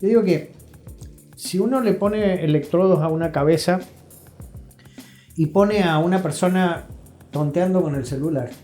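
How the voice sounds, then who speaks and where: Mellow-voiced Argentine man, reflective storyteller. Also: sits high, a little slow, pauses often. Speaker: interview guest. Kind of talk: reflective conversational storytelling and explanation. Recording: roomy echo, faint music.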